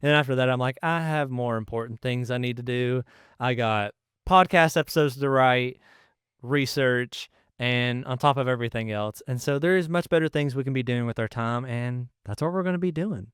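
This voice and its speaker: A man's voice: medium pitch, normal speed, a bit bright, clean tone, no room sound, studio quality. A man chatting casually as the co-host of a podcast. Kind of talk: casual chat.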